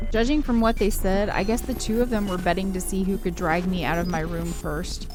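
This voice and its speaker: A woman co-hosting a game playthrough, reading visual novel narration aloud and adding casual commentary. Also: on the low side. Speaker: let's play co-host, narrator. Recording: music playing behind the voice. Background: game soundtrack music.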